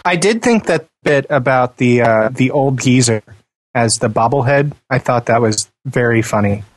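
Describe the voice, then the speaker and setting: A man's voice: medium pitch, normal speed, no room sound. Dry-sounding close-up man, wry podcast chat. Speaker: podcast co-host. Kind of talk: casual panel chat about gaming.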